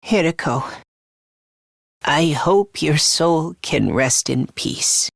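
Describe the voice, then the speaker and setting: A man's voice: fairly high pitch, lively intonation, slow pace, creaky, no echo, clean studio sound, full character voice. A man voicing a timid, self-loathing young male academy fighter in a video game, hesitant and prone to stammering. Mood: mournful, self-loathing.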